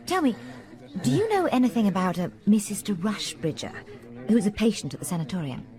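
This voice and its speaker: An actress playing an inquisitive, well-bred young English amateur sleuth in a radio drama, speaking politely in an upper-class accent. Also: female voice, medium pitch, big pitch swings, brisk, dark tone, close to an everyday voice. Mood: probing. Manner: polite, probing.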